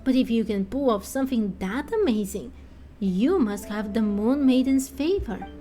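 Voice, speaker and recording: A woman's voice: fairly high pitch, lively intonation, moderate pace, dark tone, close to an everyday voice. A woman acting a grumbling fisher villager in a game, explaining things in a matter-of-fact way. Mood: amazed, grumbling.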